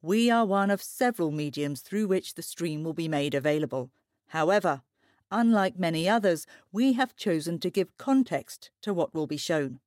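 A woman plays a serious television news anchor in an audio drama. She is composed, formal and measured, with a lowish voice in a dry, close recording. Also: expressive, darkish tone, no echo, lightly acted. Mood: grave. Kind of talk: broadcast news presenting.

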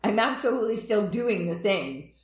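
Animated young woman, echoey room. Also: mid-range voice, normal speed. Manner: animated, swearing, laughs often. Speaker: podcast co-host. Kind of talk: casual chat.

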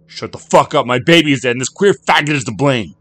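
A man playing an angry, foul-mouthed, desperate father in a story reading, snarling and shouting his lines. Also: medium pitch, moderate pace, voice pushed up. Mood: furious. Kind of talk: audiobook character acting.

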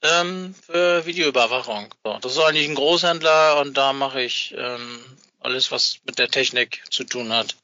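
A middle-aged man chatting casually as an interview guest, speaking haltingly and often searching for his words. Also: mid-range voice, bright, forceful tone, dry recording, muffled recording. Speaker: interview guest, fan magazine maker.

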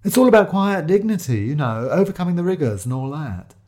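Man playing an apologetic, patronising photographer, lifted lively pitch. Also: fairly quick. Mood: earnest, patronising. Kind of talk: audio story character voice.

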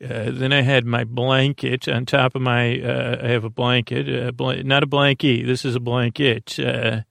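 An American man hosting a bedtime podcast, rambling along in a halting, meandering way and often trailing off mid-thought. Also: mid-range voice, level tone, vocal fry, a bit bright, no echo, studio quality. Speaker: host of a bedtime story podcast.

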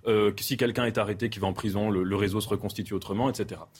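Husky guy on a tv panel, emphatic, keeps restarting his sentences. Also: fairly low pitch, steady tone, very fast. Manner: emphatic, with many restarts and hesitations. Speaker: panel guest. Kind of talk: studio debate.

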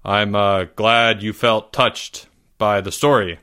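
Man voicing a patient, weary schoolteacher, animated intonation. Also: mid-range voice, moderate pace. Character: patient, weary male schoolteacher leading book club.

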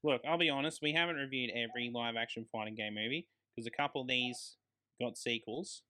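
A man's voice: medium pitch, fairly quick, bright, forceful tone. An Australian man co-hosting a casual film podcast, talking in a blokey, emphatic way with some swearing. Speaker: podcast co-host.

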